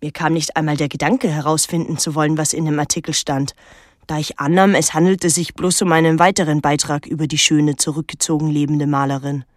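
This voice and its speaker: An actress playing a reflective daughter who narrates a literary radio drama in a measured, introspective way. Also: female voice, deep voice, little pitch movement, dry recording, studio quality, lightly acted. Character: reflective daughter recalling her reclusive painter mother.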